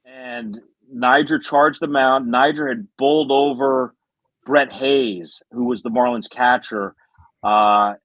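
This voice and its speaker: A man's voice: medium pitch, creaky, a bit bright, no room sound, rough recording. A middle-aged American man, a former baseball radio announcer, telling stories conversationally as a podcast guest. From South Florida, United States. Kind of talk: conversational storytelling.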